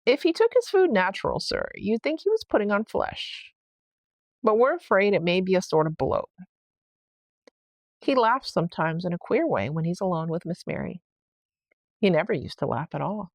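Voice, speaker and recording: Give the storybook housekeeper as a woman reader acts her out a flustered, deferential manner. She explains things hesitantly and respectfully, puzzled and anxious. The voice has a creaky edge and sits close and dry. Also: mid-range voice, sing-song, normal speed, long pauses, no echo. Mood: worried, deferential. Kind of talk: storybook read-aloud character voice.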